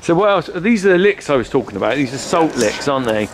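A man's voice: fairly high pitch, big pitch swings, fast. A middle-aged man, chatting casually and asking curious questions while touring a store on a handheld camera. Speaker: farmer and vlogger touring a store. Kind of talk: casual chat. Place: large indoor warehouse with shelving. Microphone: camera mic, handheld close-up. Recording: warehouse with clear background noise.

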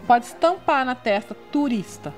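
High-voiced Brazilian woman hosting. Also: lively intonation, normal speed, music in the background. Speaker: TV show host. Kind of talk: chatty interview. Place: TV studio.

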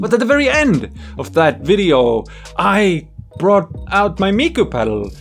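Male vlogger, thirties, higher voice, lively swooping delivery, enthusiastic and informal gear-demo chat. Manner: chatty, enthusiastic, animated. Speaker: music gear video creator, host. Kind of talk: casual demo vlog. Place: small home studio room full of instruments. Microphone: desk microphone on a boom arm. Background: synth music.

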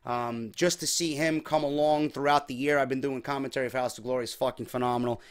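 A young man, his voice slightly worn, hosting a casual wrestling talk show from a small home room on a desk microphone, energetic and chatty. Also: mid-range voice, quick pace, clean tone. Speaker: podcast host. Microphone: desk mic on boom arm.

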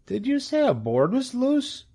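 Man acting young male pig, storybook, high voice, plaintive, hesitant, naive. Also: wildly swooping pitch, a little slow, dark tone, breathy edge, exaggerated voice. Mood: curious, unsure.

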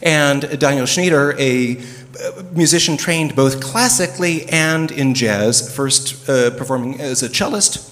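A man's voice: mid-range voice, unhurried, fairly bright tone. A middle-aged man moderating a panel on a theater stage, talking into a handheld mic with plenty of fillers and restarts. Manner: chatty, many fillers and restarts. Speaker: moderator, opera dramaturg. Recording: long reverb from the hall.

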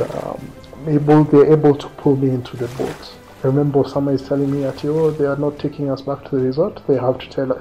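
Kenyan guy in his 30s chatting through a personal story, soft mellow tone, faint music behind. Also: medium pitch, low-quality recording. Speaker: interviewee recounting his own trip. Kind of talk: conversational storytelling to camera. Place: small quiet indoor room, seated.

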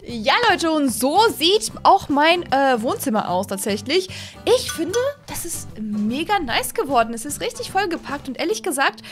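A woman's voice: sits high, sing-song, normal speed. A young woman hosting a casual gameplay video, chatty and enthusiastic, talking straight to viewers into a close desk mic. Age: young adult. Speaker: host of gaming videos. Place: small indoor streaming room at home. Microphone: close desk microphone on arm. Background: music.

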